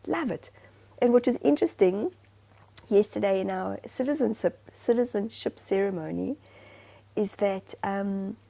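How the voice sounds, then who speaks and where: A woman from Zimbabwe chatting casually on a podcast. Her voice is gravelly and mellow. She rambles in an animated way and restarts her sentences often. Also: mid-range voice, a little slow. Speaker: podcast co-host. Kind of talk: casual chat.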